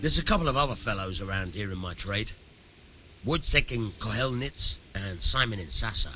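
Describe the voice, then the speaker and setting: An actor playing a shrewd miller who fences stolen goods, plain-spoken and avuncular, in a medieval video game. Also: male voice, lowish voice, expressive, moderate pace, sharp tone, a little hiss or hum, poor audio. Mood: sly, approving.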